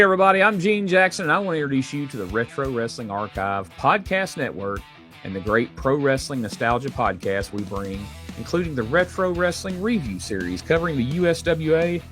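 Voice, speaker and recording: A man's voice: mid-range voice, a bit bright. A man delivering a scripted promotional announcement for podcasts. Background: guitar music.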